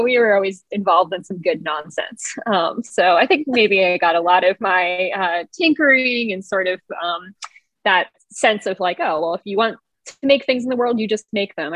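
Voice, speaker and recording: A woman from the New Orleans area talks as a podcast guest, loose and rambling, with lots of "like" and laughter. Her voice sits at an average pitch, and the recording is dry and close. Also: a bit bright, no echo. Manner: casual, rambling, laughs, many fillers like "like". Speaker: guest, designer and paper engineer. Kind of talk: casual conversation.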